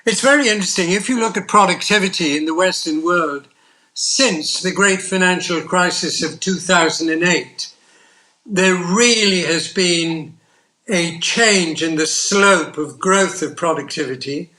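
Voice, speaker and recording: An older man from the United Kingdom talks slowly on a panel with a high, bright voice. He is measured and deliberate and pauses to find his words. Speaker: panel guest, economist. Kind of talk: panel discussion.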